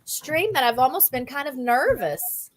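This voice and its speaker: A woman with a high voice hosts a relaxed livestream. She chats in a folksy way as she interviews her guest. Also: measured pace. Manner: chatty, folksy. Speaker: livestream host. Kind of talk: casual chat, interviewing a guest.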